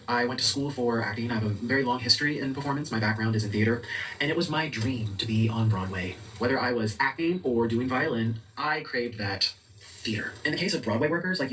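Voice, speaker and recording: A man talks at a racing pace in a casual talking-head video. He speaks in a middle pitch and peppers his sentences with likes. His voice sits a little away from the mic. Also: a bit bright, distant mic, a little hiss or hum, low-quality recording. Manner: rapid, chatty, with many likes. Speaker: content creator.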